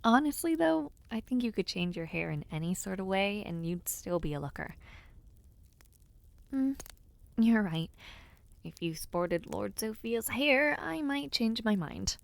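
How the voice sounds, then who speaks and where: A woman voicing a playful, affectionate young noblewoman knight in an audio roleplay, speaking softly and teasingly and often dropping into whispers. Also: mid-range voice, lively intonation, unhurried, gravelly. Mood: chatty, teasing. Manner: soft, teasing and intimate, drops to whispers.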